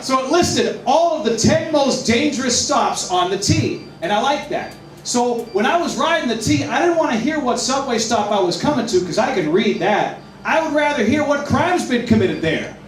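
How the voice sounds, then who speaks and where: Boston-accented comedian, high lively voice. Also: male voice, normal speed. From Boston, Massachusetts. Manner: wry, self-deprecating, punchy delivery with loud mock announcer shouts. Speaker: stand-up comedian. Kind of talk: stand-up comedy set. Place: Mexican restaurant, indoors. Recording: echoey room, distant mic, audience noise.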